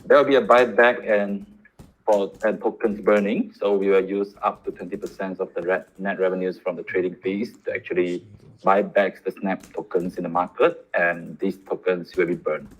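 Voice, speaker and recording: A man hosting a livestream question session, reading questions from the chat in a relaxed, chatty way. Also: low voice, moderate pace, husky, highs cut off. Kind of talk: livestream AMA.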